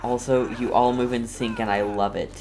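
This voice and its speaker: A man's voice: medium pitch, flat delivery, normal speed, creaky, a little mellow, faint music behind. A man giving casual gameplay commentary as a streamer, with dry, understated joking. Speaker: gamer, streamer.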